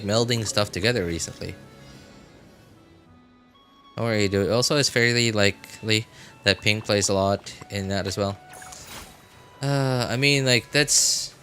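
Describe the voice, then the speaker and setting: A man's voice: medium pitch, pushed and bright. A man hosting a game livestream, chatting casually and rambling as he repeats and reworks his phrases. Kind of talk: casual live stream chat.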